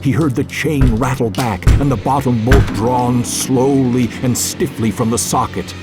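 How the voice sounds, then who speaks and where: A man's voice: medium pitch, steady tone, measured pace. A man narrating a classic horror story in a dramatic, suspenseful, theatrical way. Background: music.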